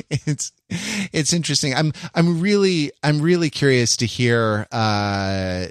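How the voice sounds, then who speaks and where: A man's voice: mid-range voice, slow, clean tone, no echo. Rambling, reflective man hosting a dry-sounding podcast. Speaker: podcast host. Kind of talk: casual conversational podcast talk.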